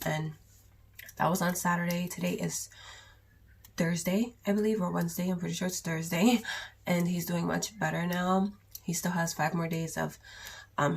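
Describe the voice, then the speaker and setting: A young woman with a sore throat and cough, chatting casually in a raspy voice through a makeup haul vlog. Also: on the low side. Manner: chatty, rambling, with many ums and likes. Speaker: beauty vlogger.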